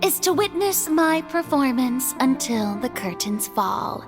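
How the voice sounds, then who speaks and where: An actress playing a grandiose stage performer in a video game, declaiming theatrically in drawn-out phrases. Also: female voice, high voice, animated, music in the background. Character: grandiose female stage performer addressing her audience. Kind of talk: dramatic video game voice acting.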